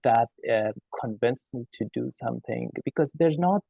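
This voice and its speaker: A man's voice: medium pitch, moderate pace, vocal fry, warm tone, husky, no echo. A man, a children's author answering questions at a panel, talking hesitantly with many fillers and restarts. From Afghanistan. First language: Farsi. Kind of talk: panel discussion.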